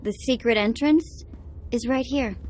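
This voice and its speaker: A woman's voice: on the high side, measured pace, darkish tone, lightly acted. An actress playing a dry, underappreciated alien woman helper in a video game cutscene, sardonic and unimpressed. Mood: dry sarcasm.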